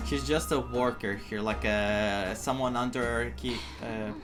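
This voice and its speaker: A man's voice: mid-range voice, steady tone, measured pace. A man giving casual playthrough commentary, chatting and thinking aloud as he goes. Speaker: gaming content creator. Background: game music.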